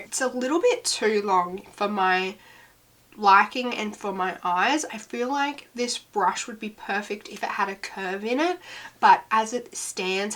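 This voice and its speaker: A woman's voice: medium pitch, a bit bright. A young woman reviewing beauty products she used up, talking casually and giving blunt opinions, her voice a little off as she recovers from a cough. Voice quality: voice sounds slightly weird. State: recovering from illness, slight cough. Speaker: beauty vlogger.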